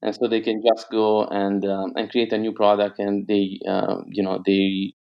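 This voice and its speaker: Italian man with a gravelly, low voice. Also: completely flat delivery, moderate pace, muffled recording. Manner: hesitant, many fillers and restarts. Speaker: guest entrepreneur. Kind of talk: casual interview talk.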